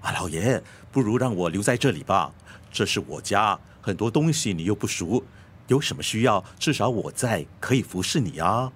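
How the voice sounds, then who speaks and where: A man's voice: lowish voice, animated, moderate pace, a bit bright. A man playing an anxious, deferential servant in a radio drama. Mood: obsequious, worried. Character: deferential, anxious male servant fussing over his master.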